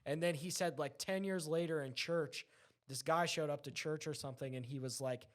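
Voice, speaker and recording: A man's voice: a little high, fairly even intonation, normal speed. A man chatting casually, retelling anecdotes in an enthusiastic, rambling way.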